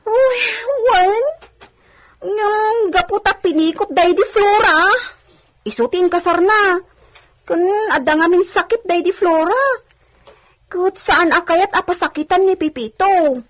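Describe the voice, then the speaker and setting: Coy young village girl, extremely high pitch, unhurried, sweet, bashful, radio drama.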